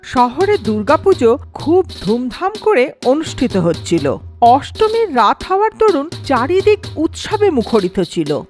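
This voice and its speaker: A woman narrates an animated horror story with dramatic flair. Her voice is high and swings expressively up and down, over clearly audible background music. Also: measured pace.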